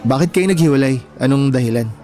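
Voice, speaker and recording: An actor plays a humble young Filipino man with a medium-pitched voice in a radio love story. He talks in a modest, easygoing way over a light music bed. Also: quick pace, dark tone, natural voice. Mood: curious, sympathetic. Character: humble, shy young male janitor on a first date. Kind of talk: Filipino radio romance drama dialogue. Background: background music.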